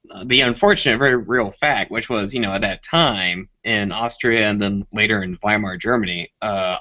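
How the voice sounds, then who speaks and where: Casual male hangout participant, forceful bright tone, recorded over a lo-fi internet call. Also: lowish voice. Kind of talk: casual group chat. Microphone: online voice call. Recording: dull lo-fi internet call audio.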